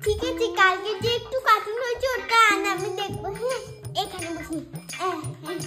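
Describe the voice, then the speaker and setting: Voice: very high voice, a little fast. A performer voicing an eager, meek young child in a children's cartoon, pleading and compliant, with echo and music. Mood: insistent, eager. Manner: pleading, compliant, wide-eyed. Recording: echoey, loud children's music behind.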